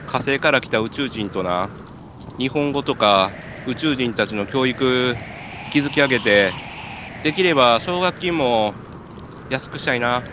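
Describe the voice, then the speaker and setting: A man's voice: medium pitch, animated, a little slow, close to an everyday voice. A man with a Kansai accent playing a hungry, freezing, silly stranded climber in an amateur comic radio drama, with absurd earnestness. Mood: dreamy. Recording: noisy amateur recording.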